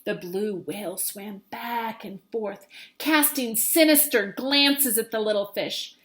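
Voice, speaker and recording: A woman's voice: mid-range voice, takes it slowly, fairly bright tone. A woman teacher reading a children's storybook aloud, expressively and with dramatic emphasis.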